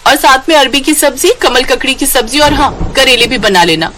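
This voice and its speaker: A woman puts on a bossy young in-law for a cartoon tale. The voice sits high and sounds pointed and smug as it hands out demands and rules. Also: lively intonation, normal speed, bright, forceful tone, heavily acted. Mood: demanding. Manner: pointed, instructing. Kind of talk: Hindi moral-story cartoon voice acting.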